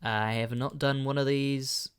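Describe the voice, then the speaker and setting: A young man with a London accent talks through a solo podcast, wandering from thought to thought and correcting himself as he goes. His voice is smooth and clean, sitting at an ordinary middle pitch. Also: fairly even intonation, unhurried. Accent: southern British, London. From London, England. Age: young adult. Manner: rambling, self-correcting, chatty. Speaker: podcast host.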